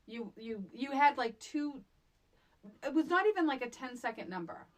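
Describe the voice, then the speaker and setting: Animated woman with a high-pitched voice. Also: normal speed. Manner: animated, exclamatory, conversational. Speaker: podcast co-host. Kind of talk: casual movie recap chat.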